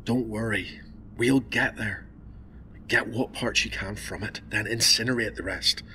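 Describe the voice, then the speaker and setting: A cold, calm doctor in command in a radio drama. His voice is lowish and extremely bright and hard-edged, over audible noise. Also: unhurried.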